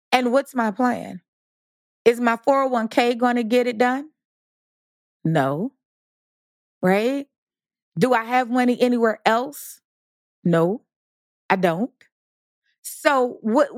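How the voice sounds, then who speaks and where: High, emphatic woman podcast host. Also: no room sound, clean studio sound. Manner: emphatic, conversational, asks rhetorical questions. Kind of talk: motivational podcast talk.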